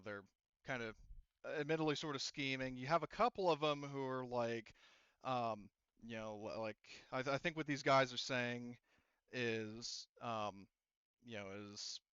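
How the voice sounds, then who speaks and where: Smooth-voiced man as game master, slightly dull audio. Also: medium pitch, a bit bright, no echo. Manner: halting, conversational explaining with quoted character lines. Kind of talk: narrating a tabletop roleplaying game, casual.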